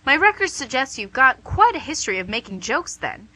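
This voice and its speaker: Woman acting as the Devil, bright sharp tone, chatty, smug, teasing tempter, radio play. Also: high-pitched, big pitch swings, brisk, exaggerated voice. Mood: teasing, boastful. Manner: chatty, smug salesmanship with teasing rhetorical questions.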